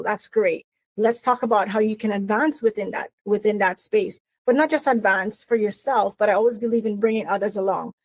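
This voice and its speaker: A woman's voice: medium pitch, no room sound. A middle-aged woman from Trinidad and Tobago with a Trinidadian accent, an earnest interview guest who talks about her career coaching with many fillers and restarts. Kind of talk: interview conversation.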